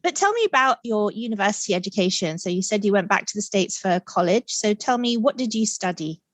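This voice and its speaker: A woman hosting an interview podcast, reading out the guest's introduction and then asking short, friendly questions. The recording is dry and close, with almost no room sound. Her voice sits at an average pitch. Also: lively intonation, fairly quick, no echo. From Singapore. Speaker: podcast host.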